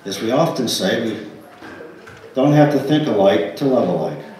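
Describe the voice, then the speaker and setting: An older man reading a formal, measured welcome to a congregation as a church trustee. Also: medium pitch, sing-song, a little mellow. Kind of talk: scripted service welcome and announcements. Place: small church sanctuary, indoors. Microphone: pulpit microphone, picked up by distant camera. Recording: echoey church hall, distant mic, faint noise.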